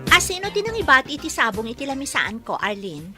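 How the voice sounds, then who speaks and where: A woman's voice: high voice, sing-song, fast, music in the background. A woman playing a stubborn young working woman who spurns a suitor, acted in an Ilocano radio comedy drama with a Filipino accent. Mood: reluctant.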